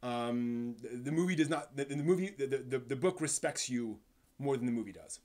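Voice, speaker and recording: A man's voice: mid-range voice, lively intonation, a little mellow. A man hosting a live stream, talking casually off the cuff to viewers, often rephrasing as he thinks aloud. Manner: halting, thinking aloud, rephrasing mid-sentence.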